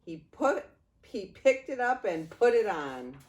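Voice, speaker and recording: Slow, lively older woman reading a storybook. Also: medium pitch. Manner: expressive, with drawn-out words and pauses. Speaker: grandmother reading a storybook to grandchildren. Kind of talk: read-aloud children's story time.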